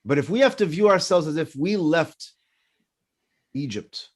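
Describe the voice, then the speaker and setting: A man in his fifties whose first language is French gives a morning class. His voice rides a little high and swings up and down, and he works through personal stories and questions he asks back to his listeners. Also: unhurried. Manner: animated teaching with personal stories and repeated questions. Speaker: rabbi.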